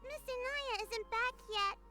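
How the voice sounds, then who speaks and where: A woman voicing a tiny, bubbly, curious childlike girl companion with a squeaky voice in a video game. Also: unhurried, pushed and bright, faint music behind, heavily acted. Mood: chirpy, curious.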